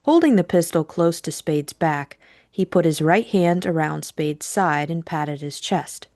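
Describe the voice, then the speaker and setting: A woman's voice: low voice, a little slow, a little mellow, no room sound, clean studio sound. A woman reading a scripted introduction and novel narration as co-host and narrator.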